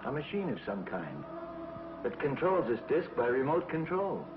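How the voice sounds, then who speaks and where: An actor playing a confident rocket pilot who talks calmly and plainly, in 1950s TV sci-fi style, on a dull old soundtrack with music. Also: male voice, mid-range voice, moderate pace, natural voice. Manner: calm, matter-of-fact. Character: heroic male rocket pilot, steady and confident.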